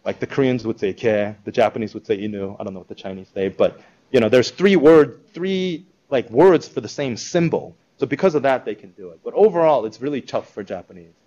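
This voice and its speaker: A man giving an informal talk to a live audience, conversational and animated, acting out quoted reactions. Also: mid-range voice, brisk, dull audio. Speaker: speaker presenting a school project.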